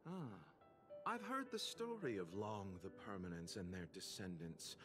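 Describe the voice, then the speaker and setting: Suave merchant with swooping intonation. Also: male voice, low voice, huge pitch swings, unhurried, exaggerated voice. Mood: urbane, gentle. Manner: refined, formal, gently amused. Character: courteous, worldly, enigmatic traveling merchant. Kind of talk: video game character dialogue. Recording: prominent background music under the voice.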